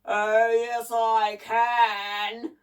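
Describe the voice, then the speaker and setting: A woman voicing a huge, boastful, menacing clockwork giant in a children's story, with a crackly, scratchy, booming voice and a snarling manner. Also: level tone, far from the mic. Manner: booming, snarling, drawn-out delivery.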